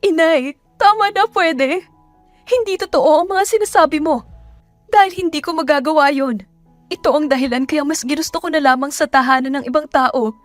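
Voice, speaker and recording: A woman plays a hurt young country woman in an audio drama. Her high voice pleads and protests emotionally. The sound is dry, with music underneath. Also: no echo, natural voice. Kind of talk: Tagalog audio drama acting.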